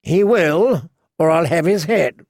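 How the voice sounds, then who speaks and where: A spoiled young king sneers and taunts in a shrill, high-pitched voice, as a male audiobook reader puts him on. His tone carries a gravelly edge, and the sound is close and dry. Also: animated, slow, a little mellow, no echo. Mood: taunting. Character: cruel spoiled teenage boy king, shrill.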